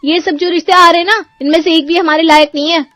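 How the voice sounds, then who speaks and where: A woman's voice: extremely high pitch, steady tone, fast talker, pushed and bright, no room sound, soft background music. A woman voicing a picky, haughty young unmarried daughter in a cartoon story. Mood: dismissive, picky. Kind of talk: Hindi cartoon story voice acting.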